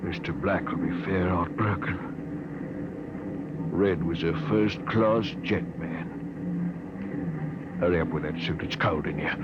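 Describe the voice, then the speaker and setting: A man's voice: high voice, very animated, slow, pauses often, full character voice. A man playing a bullying spaceship officer in a 1950s radio drama, mocking and menacing, on a muffled, noisy old recording. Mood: cold, barking.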